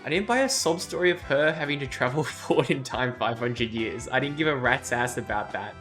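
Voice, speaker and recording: A man's voice: medium pitch, animated, fairly quick, sharp tone. A man streaming live game commentary, blunt and sarcastic, with game music behind.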